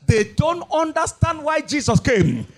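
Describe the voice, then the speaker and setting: High-pitched fiery preacher man. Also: very animated, measured pace. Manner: shouting, sing-song preaching cadence with repeated phrases. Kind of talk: fiery sermon.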